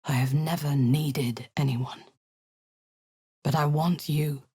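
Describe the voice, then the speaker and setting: An actress voicing a ruthless drow woman commander who leads zealous raids, speaking in a cold, commanding voice with a husky edge that often sinks into a whisper. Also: deep voice, steady tone, slow pace, dark tone, full character voice. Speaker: game character. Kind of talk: video game voice lines.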